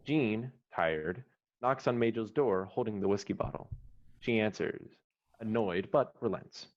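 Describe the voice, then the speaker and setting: Low-voiced man reading screenplay stage directions. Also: unhurried. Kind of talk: scripted screenplay table read narration. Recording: dull lo-fi online call audio.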